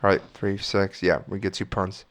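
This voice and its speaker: A man's voice: deep voice, little pitch movement, moderate pace. A man with a stuffy nose and a slight cough thinking aloud through chess moves as he plays, often correcting himself. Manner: musing, self-correcting, talks through moves. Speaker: chess streamer.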